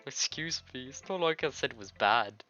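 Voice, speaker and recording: A man's voice: mid-range voice, animated, moderate pace, bright, forceful tone, highs cut off. A man reading visual novel game dialogue aloud as a let's-play host, in a loose, stumbling way. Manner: loose, stumbling read-aloud with misread words. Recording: music behind the voice. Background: game music.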